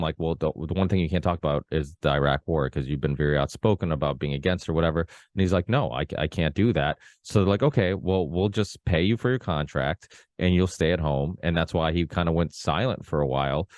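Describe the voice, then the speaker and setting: Low-voiced guy from portugal, podcast host rambling along, dry sound. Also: no room sound. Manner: rambling, many fillers and restarts. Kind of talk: casual conversational chat.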